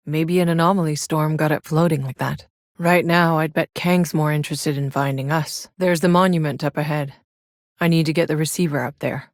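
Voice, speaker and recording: A woman voicing a tough, wry female soldier in a sci-fi video game, with gruff, sardonic, casual swagger. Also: low voice, dry recording, clean studio sound, natural voice.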